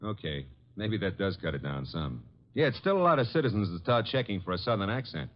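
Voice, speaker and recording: A breezy, animated wisecracking legman. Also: low-pitched, a little fast. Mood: wry, grudging. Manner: slangy, breezy, wry. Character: wisecracking, skeptical male detective's assistant, breezy flirt. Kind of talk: old-time radio drama acting. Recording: dull, lo-fi old radio recording.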